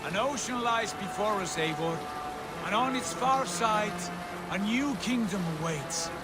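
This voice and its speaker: An actor playing a proud, ambitious Viking prince in a video game, speaking in a grand, declarative manner. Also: male voice, high voice, lively intonation, unhurried, loud music behind the voice. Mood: visionary pride. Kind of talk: cinematic video game acting.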